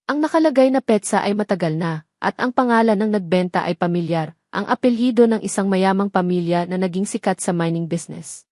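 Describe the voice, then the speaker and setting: A woman narrates a dramatic scripted story. Her voice sits at a middle pitch for a woman. The recording is close and dry, with no room sound. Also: fairly quick, darkish tone, no room sound.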